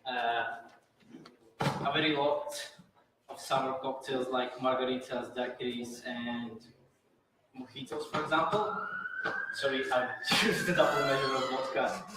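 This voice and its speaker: A man's voice: medium pitch, steady tone, slow, pauses often. Man in a very echoey hall. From Latvia. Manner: explains steps carefully, hesitant fillers, slightly non-native phrasing. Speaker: bartender competitor. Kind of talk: presenting while making a cocktail. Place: large indoor event venue. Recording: very echoey hall, distant mic, noticeable noise.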